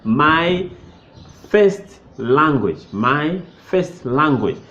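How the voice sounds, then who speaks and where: A man walks a class through a lesson, moving about as he writes on a whiteboard. He speaks slowly and repeats every phrase, his fairly high voice rising and falling a great deal. The classroom sound is dull and lo-fi, picked up by a camera mic from mid distance. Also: animated, fairly bright tone. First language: Swahili. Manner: slow, deliberate, repeats each phrase; moves around while writing on the whiteboard. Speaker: Swahili teacher. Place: indoor classroom.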